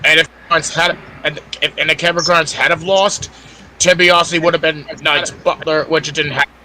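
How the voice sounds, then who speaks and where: Male panelist, high pitch, forceful bright tone, insistent repetition, casual sports talk, slight noise behind. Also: steady tone, moderate pace, rough recording. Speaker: podcast panelist.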